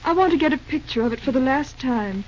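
A muffled, noisy vintage radio recording of a young bride-to-be with a high voice. She is genteel and coy, sweetly teasing her man while quietly holding her ground. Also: expressive, fast. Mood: dreamy delight. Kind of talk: old-time radio drama acting.

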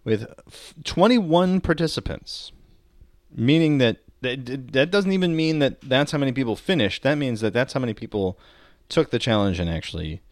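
Male podcast co-host, casual and comedic, expressive pitch movement, opinionated wry delivery, very dry recording. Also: normal speed, dry recording.

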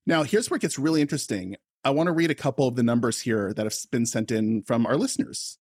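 A man's voice: mid-range voice, quick pace, a little mellow, slightly rough, dry recording, clean studio sound. A man hosting a comedy podcast, playful and teasing in casual chat. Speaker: podcast host.

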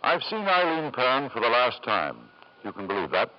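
A man's voice: mid-range voice, big pitch swings, normal speed, muffled recording, lightly acted. An actor playing a ruthless, possessive husband and doting father in a 1950s radio drama, formal and controlling, on an old broadcast recording. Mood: defensive.

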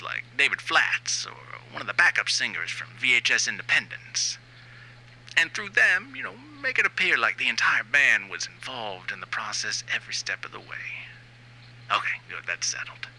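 A man's voice: mid-range voice, gravelly, sharp tone, husky, full character voice, low-quality recording. A man playing a self-important, sleazy new wave band frontman in a rambling, conversational comic monologue. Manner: rambling, smug, halting asides. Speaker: writer and reader of a comic fiction series.

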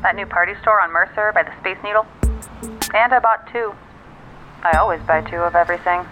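A woman's voice: on the low side, a little fast, soft background music. A woman playing a frank, dry-witted friend delivering bad news over a phone line in an audio drama, matter-of-fact and pointed. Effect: phone filter. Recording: dull, band-limited phone line.

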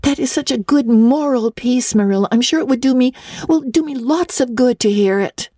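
A woman's voice: high voice, normal speed, warm tone, dry recording, studio quality, pitched above the performer's own voice. A woman voicing an eager, imaginative young girl in a storybook reading, breathless, gushing and earnest as she pleads. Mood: pleading. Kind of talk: dramatic audiobook reading of classic literature.

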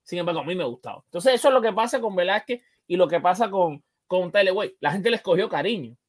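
A man's voice: sits high, lively intonation, fast talker. A man hosting a sports show, discussing baseball casually and emphatically, often repeating phrases to drive a point home.

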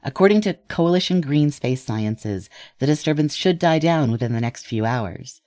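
An actor playing a smooth, smug male radio news anchor in an audio drama, with a polished, wry announcer delivery. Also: a little high, expressive, moderate pace, darkish tone, dry recording, studio quality, lightly acted. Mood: polished, upbeat.

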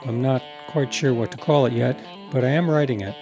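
Male podcast presenter, mid-pitched voice, scripted closing credits, plucked guitar music underneath. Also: fairly quick. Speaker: writer, producer and presenter.

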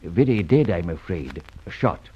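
Masked gentleman rogue for vintage radio serial: urbane, mocking, lively intonation, dull old recording. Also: low-pitched, a little slow, a little hiss or hum. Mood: teasing, urbane. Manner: polished, drily ironic, courteous menace. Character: suave gentleman adventurer, masked vigilante, mocking and unruffled.

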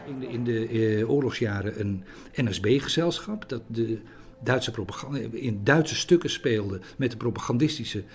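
A man's voice: mid-range voice, lively intonation, moderate pace. A man giving a hesitant interview, searching for words and often repeating himself. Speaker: interviewee recounting theatre history.

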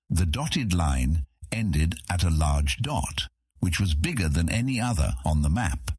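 A man reads a fantasy story in a very deep, husky voice. His measured, dramatic delivery moves expressively up and down in pitch. The sound is close and dry, as in an audiobook. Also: no echo. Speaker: audiobook narrator. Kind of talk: scripted fantasy story narration.

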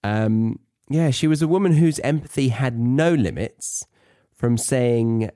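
A man's voice: medium pitch, lively intonation, slow, dark tone, no room sound, studio quality. A British man hosting an educational English podcast, talking in a chatty, conversational and wry way. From Britain. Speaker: podcast host and English teacher.

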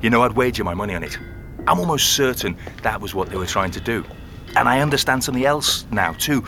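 A man playing a friendly, thoughtful music-box restorer in an audio drama, explaining things in a conversational, unhurried way. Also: mid-range voice, animated, noisy background, close to an everyday voice. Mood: intrigued. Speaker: actor playing an interviewee. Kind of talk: naturalistic audio drama dialogue.

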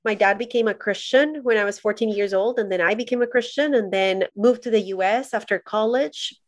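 A woman's voice: medium pitch, brisk, no echo, clean studio sound. A woman from Colombia who is a podcast guest, telling her story in an earnest, thoughtful way. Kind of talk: reflective personal storytelling.

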